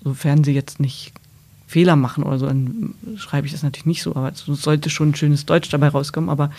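A woman's voice: sits low, fairly even intonation, a little fast, mellow. A woman sports journalist answering questions as a guest, thoughtful and hesitant, often restarting her sentences. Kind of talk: podcast interview answers.

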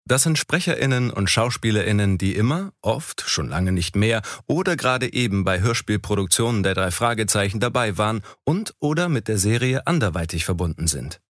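Host, man, low and lively voice, clear announcing and interview questions, dry mic. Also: a little fast, hardly any pauses, dry recording. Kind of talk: scripted intro and interview questions.

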